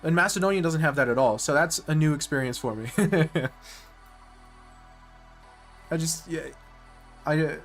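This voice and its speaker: A man's voice: on the high side, measured pace, long pauses, soft background music. A man streaming live and chatting casually with viewers, rambling and correcting himself mid-sentence with nerdy enthusiasm. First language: English.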